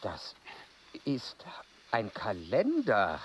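A driven, exacting male aristocrat and explorer in a radio drama. He is curt and pedantic, with a very lively pitch range, on a slightly dull recording with faint noise. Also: extremely slow, full character voice. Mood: absorbed. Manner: pedantic, precise. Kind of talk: radio play acting.

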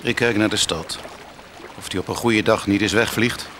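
Casting: male actor as callous soldier, lowish voice, curt and commanding radio play delivery over noisy watery ambience. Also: moderate pace, a bit bright, close to an everyday voice. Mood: brooding. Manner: curt, clipped orders. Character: hard, callous army officer, fearful of plague. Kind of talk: radio drama dialogue.

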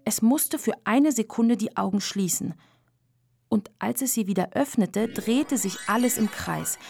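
A woman's voice: a little high, a little slow, creaky, mellow, soft background music. A woman narrating a scripted children's story as the narrator of a radio play.

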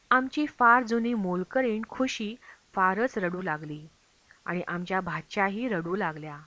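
A woman's voice: medium pitch, fairly bright tone, no echo, poor audio. A woman reading a travel memoir aloud as scripted audiobook narration. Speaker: audiobook reader.

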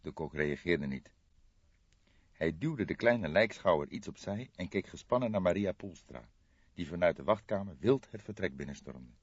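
A man with a low voice narrates crime fiction dramatically. His pitch moves in a lively way, and the recording is very dry and slightly dull. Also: moderate pace, slightly rough, no room sound. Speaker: audiobook narrator.

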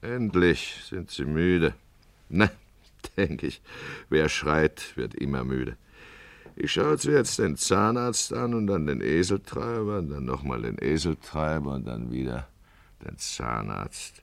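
Deep-voiced, wry old-style town judge. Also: male voice, lively intonation, slow, creaky, dry recording, full character voice. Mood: wry, resigned. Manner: sardonic, swings from confiding asides to declaiming. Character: weary, wry male town judge urging peace. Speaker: judge and first-person narrator. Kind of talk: radio play acting with confiding narration.

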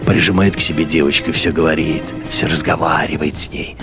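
A man's voice: extremely low pitch, fairly even intonation, brisk. A man playing the bitter inner voice of a brooding collaborator in a radio drama. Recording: music behind the voice.

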